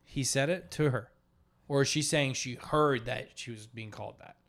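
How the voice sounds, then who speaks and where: A man's voice: mid-range voice, moderate pace, vocal fry, fairly bright tone. A man co-hosting a comedy podcast in casual, profane, argumentative banter. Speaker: podcast co-host.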